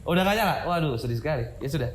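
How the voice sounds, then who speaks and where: A male comedian hosting a casual podcast, relaxed and jokey, often affirming his guest. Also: a little high, racing, slight background noise. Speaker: podcast host, stand-up comedian.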